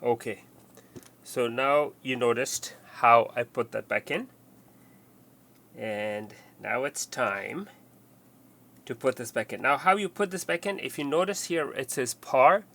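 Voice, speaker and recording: A man giving an unscripted, step-by-step hands-on tutorial, speaking haltingly and often repeating or rephrasing his instructions. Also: mid-range voice, animated, gravelly, sharp tone. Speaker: repair tutorial presenter.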